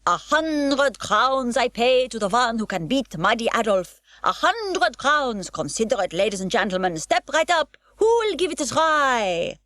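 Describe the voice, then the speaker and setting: Booming foreign ringmaster guy, a lady reading it, swoopy showman patter, dry close sound. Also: sing-song, normal speed, few pauses, no echo. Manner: loud showman's patter, broken grammar. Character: blustering foreign male circus ringmaster.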